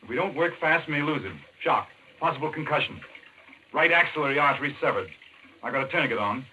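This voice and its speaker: An actor playing an earnest, urgent doctor hero in a 1940s radio thriller, speaking in a brisk, intense, clipped way. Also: male voice, on the high side, animated, sharp tone, poor audio. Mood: urgent, businesslike. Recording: old radio broadcast, faint noise.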